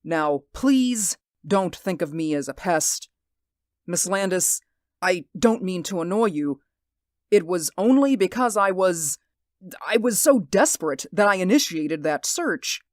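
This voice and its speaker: A woman's voice: on the low side, lively intonation, measured pace, darkish tone, dry recording, studio quality. A woman voicing Mister Ames, a nervous, sleepless man who stammers and pleads, in a radio drama. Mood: pleading. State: sleep-deprived, anxious. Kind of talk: hobby radio drama acting.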